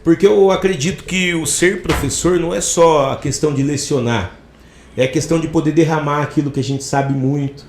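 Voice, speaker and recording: A man's voice: on the high side, a little fast, a little mellow, roomy sound, slight background noise. A man hosting a podcast interview, introducing his guest in effusive, inspirational phrasing. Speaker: podcast host.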